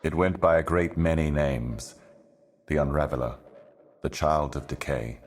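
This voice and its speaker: An actor playing an ominous, ancient otherworldly herald in a video game, speaking in solemn, cryptic, deliberate monologue. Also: male voice, extremely low pitch, a little hiss or hum. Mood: ominous, brooding. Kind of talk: scripted video game voice acting, slow monologue.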